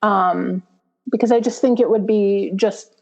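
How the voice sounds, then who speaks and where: A woman's voice: mid-range voice, fairly even intonation, unhurried, gravelly, a little mellow. A woman hosting a podcast whose first language is Romanian, talking earnestly and rambling with fillers. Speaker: podcast host. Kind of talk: casual conversation. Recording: slightly dull, lo-fi sound.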